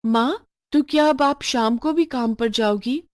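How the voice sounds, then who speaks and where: A woman's voice: fairly high pitch, unhurried, no echo, voice pushed up, lightly acted. A woman acts as a caring young child from a poor family in a storybook tale. The delivery is earnest and pleading, with a dry sound. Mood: earnest, concerned. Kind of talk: moral storybook voice acting.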